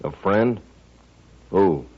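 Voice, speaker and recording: An American actor playing a slick, blustering, defensive gambler villain in a 1950s radio western. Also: male voice, deep voice, lively intonation, very slow, exaggerated voice. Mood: rattled, defensive. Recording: muffled, noisy vintage radio recording.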